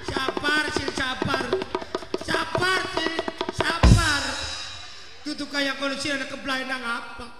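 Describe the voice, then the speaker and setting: A middle-aged man with an Indramayu Javanese accent doing stage comedy banter, loud and teasing, repeating words rapidly into a handheld mic. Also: extremely high pitch, steady tone. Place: outdoor village stage. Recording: echoey outdoor PA with music.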